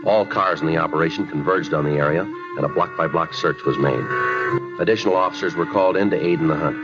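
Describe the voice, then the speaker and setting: A dull 1950s radio recording of an American police detective. His voice is extremely low and he talks quickly, deadpan and clipped, in matter-of-fact radio drama fashion. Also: loud music behind the voice. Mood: deadpan, factual. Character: terse, matter-of-fact male police detective narrating a case.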